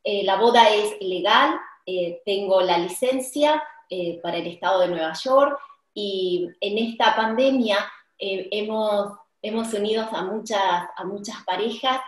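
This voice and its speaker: A middle-aged woman with an Argentine accent, a wedding officiant explaining her services on a video call, earnest and pausing often with "eh". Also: mid-range voice, echoey room, rough recording. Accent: Argentine Spanish. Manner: warm, earnest, frequent "eh" pauses. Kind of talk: promotional video call chat. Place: indoor room with tiled wall, flowers and candle. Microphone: video call webcam.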